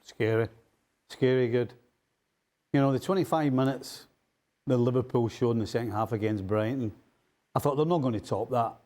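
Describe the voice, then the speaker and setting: A man's voice: medium pitch, normal speed, long pauses, a little mellow. A man giving emphatic football analysis on a studio panel, stacking words for effect. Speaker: football pundit.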